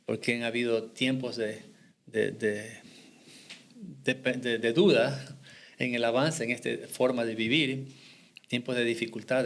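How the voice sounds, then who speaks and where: A middle-aged man from Ecuador talks about his mission work as a podcast guest, in an earnest and reflective way. His voice sits at an ordinary middle pitch for a man, and he pauses to restart a phrase now and then. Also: a bit bright. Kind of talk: podcast interview, faith testimony.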